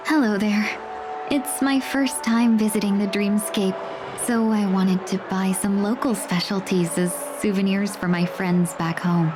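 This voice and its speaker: A woman voicing a polite, gentle young traveller in an anime-style video game, speaking in a courteous, well-spoken way. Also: mid-range voice, fairly even intonation, unhurried, music in the background, close to an everyday voice. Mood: courteous, pleased.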